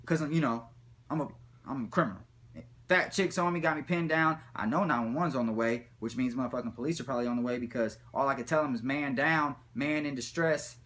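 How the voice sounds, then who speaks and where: A man telling a comic personal story in a rambling, slangy, profane way with animated retelling. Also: medium pitch. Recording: background noise, room ambience.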